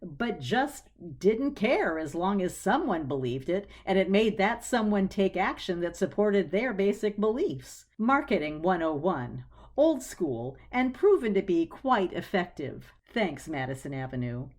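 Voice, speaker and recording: A woman's voice: medium pitch, sing-song, unhurried, darkish tone. A woman reading scripted story narration in a wry, conversational storytelling manner.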